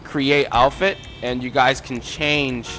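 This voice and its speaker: A man's voice: medium pitch, fairly even intonation, takes it slowly, loud music behind the voice, low-quality recording. A man casually walking viewers through game mods in an informal, instructive way, addressing them as guys. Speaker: gaming tutorial creator.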